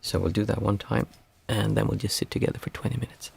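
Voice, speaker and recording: Hoarse, raspy low-voiced man. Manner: calm, unhurried counting of breaths. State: hoarse, losing his voice. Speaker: meditation teacher. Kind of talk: guiding a group meditation.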